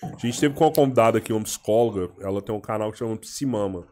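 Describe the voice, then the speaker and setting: A man's voice: fairly low pitch, fast talker, darkish tone. A man hosting a casual podcast, talking informally with slang and frequent restarts. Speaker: podcast host.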